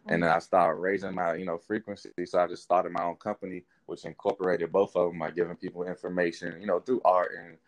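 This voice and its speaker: A man's voice: deep voice, quick pace, hardly any pauses, no room sound. A man in his thirties from Washington, D.C., a hip hop artist talking casually as a podcast guest. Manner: relaxed, rambling, many fillers. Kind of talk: casual interview chat.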